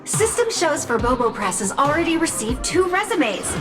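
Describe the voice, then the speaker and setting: An actress playing an efficient female business assistant who reports to her boss in a crisp, brisk way for a game cutscene. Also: sits high, big pitch swings. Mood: scheming, upbeat. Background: game music.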